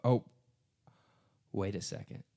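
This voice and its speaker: A man's voice: slow, mellow. A man in his thirties co-hosting a podcast, bantering informally with loose, sweary language as he guesses quiz answers. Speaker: podcast co-host.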